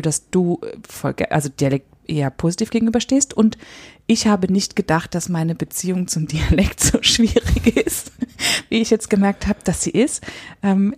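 Husky low-voiced woman podcast host. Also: mellow, no echo. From Palatinate, Germany. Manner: reflective, laughs often, self-correcting. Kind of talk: casual podcast chat.

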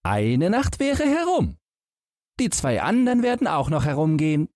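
A man's voice: fairly high pitch, wildly swooping pitch, a little mellow, no room sound, studio quality, pitched above the performer's own voice. A man voicing a fearless, naive young lad in a fairy tale, in a light, raised, cheeky voice. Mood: carefree. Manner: carefree, unfazed, brisk.

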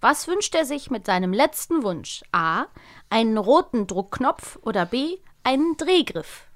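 A woman's voice: on the high side, sing-song, measured pace. Cheerful female podcast co-host. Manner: cheerful, laughs easily. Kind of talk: casual chat and quiz hosting.

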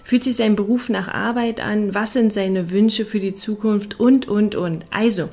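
A woman's voice: mid-range voice, steady tone, a little slow, a little mellow. A woman podcast host speaking in a scripted, presenting style with interview questions.

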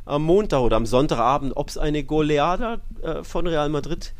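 A man's voice: a little high, normal speed. A man co-hosting a casual football podcast, wry and joking, often searching for his words. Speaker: podcast co-host.

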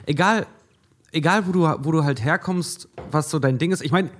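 A man's voice: on the high side, normal speed, a little hiss or hum. A man from eastern Germany co-hosting a podcast, frank and rambling in casual chat. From eastern Germany, Uckermark. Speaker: podcast co-host.

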